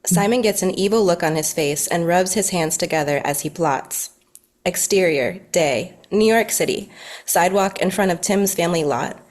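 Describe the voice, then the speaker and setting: Lowish-voiced woman narrating a script. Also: darkish tone. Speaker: narrator reading stage directions. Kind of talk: scripted narration at a table read.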